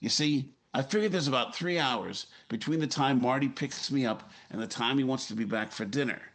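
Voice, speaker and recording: A man's voice: mid-range voice, brisk, a bit bright. A man playing a determined, fatalistic newspaper editor, terse and matter-of-fact, in a 1950s-style radio drama. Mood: resolute calm.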